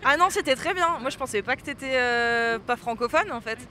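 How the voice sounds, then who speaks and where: Bright-voiced woman interviewing in the street. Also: high voice, a little fast. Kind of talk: casual vox pop interviewing. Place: outdoors on a city street. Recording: outdoor street with light traffic noise.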